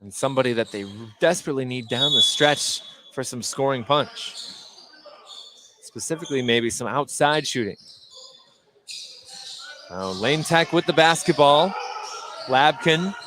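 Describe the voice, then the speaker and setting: A man's voice: medium pitch, expressive, measured pace, long pauses. An American man doing live radio play-by-play of a basketball game, describing the action in clipped running phrases. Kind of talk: live radio sports commentary. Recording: noisy gym ambience, bouncing basketballs. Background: busy gymnasium with basketball bounces.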